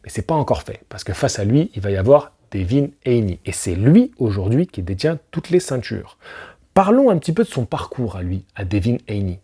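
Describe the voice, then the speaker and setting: Man, boxing channel host, casual analysis, mellow tone, slightly husky. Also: lowish voice, brisk. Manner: animated, talks to viewer directly, rhetorical questions, slangy asides.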